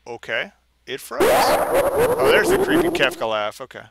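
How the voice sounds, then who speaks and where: A man's voice: very high voice, wildly swooping pitch, measured pace, vocal fry, heavy background noise. A man hosting a gaming stream, mixing casual commentary and mock dramatic reading with sarcastic, self-deprecating asides.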